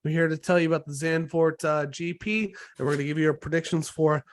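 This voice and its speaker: A man's voice: on the high side, little pitch movement, quick pace. A young man hosting a casual podcast chat, recovering from a cold with an occasional cough, telling stories animatedly and swearing freely. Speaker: podcast host.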